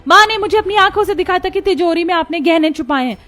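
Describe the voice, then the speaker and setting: A woman voices a young bride in a family story drama. Her very high voice is dramatic and full of accusation, with music playing underneath. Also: fast, pushed and bright. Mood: accusing.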